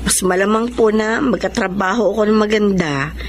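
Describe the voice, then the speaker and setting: An actress playing an earnest, respectful young provincial daughter with a pleading manner in a radio drama. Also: female voice, medium pitch, moderate pace, background noise, natural voice. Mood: dejected, earnest.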